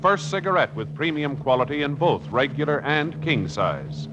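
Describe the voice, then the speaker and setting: A man's voice: on the high side, big pitch swings, moderate pace, noisy background. A man with an American accent reading 1950s radio announcements in a formal, emphatic style. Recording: dull old radio recording. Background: loud music.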